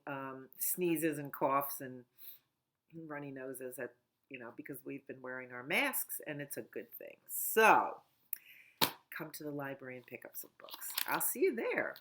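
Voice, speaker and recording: Low-voiced woman reading to children. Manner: gentle, sing-song, talks slowly to children. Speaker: children's librarian. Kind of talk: storytime reading and chat for children. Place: at home.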